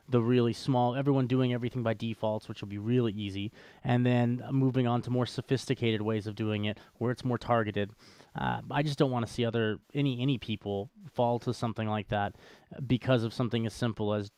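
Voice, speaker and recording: A man's voice: mid-range voice, a little mellow, dry recording. A man co-hosting a show with casual podcast banter and commentary, wry and joking in manner. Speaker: co-host of a cryptocurrency show.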